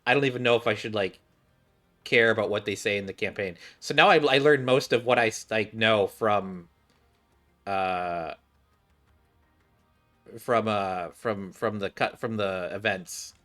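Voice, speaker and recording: Halting man commenting casually on a game. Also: lowish voice, bright, forceful tone, faint music behind. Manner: halting, thinking aloud, repeats himself. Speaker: streamer playing a game.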